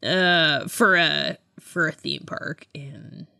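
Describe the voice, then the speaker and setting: Woman, deep-set pitch, crisp bright tone, chatty podcast co-host, rambling, hedging. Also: steady tone, takes it slowly, no echo, clean studio sound. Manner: chatty, rambling, trails off and hedges. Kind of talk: casual fan chat.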